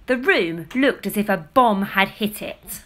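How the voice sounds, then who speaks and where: A woman reading a children's story aloud with dramatic, emphatic storytelling and drawn-out words. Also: medium pitch, animated. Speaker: storybook reader. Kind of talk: expressive children's story narration.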